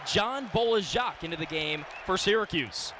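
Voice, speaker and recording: Male basketball play-by-play announcer over loud arena noise. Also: sits high, animated, normal speed, crowd noise. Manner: clipped, descriptive play-by-play phrasing. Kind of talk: live basketball radio commentary. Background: gym ambience, bouncing basketballs.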